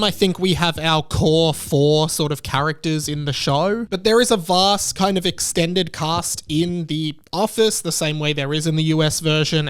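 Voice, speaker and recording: Man, fairly high voice; earnest, opinionated reviewer talking conversationally to viewers. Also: fairly bright tone, clear, resonant tone, dry recording. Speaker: video reviewer. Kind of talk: conversational video commentary.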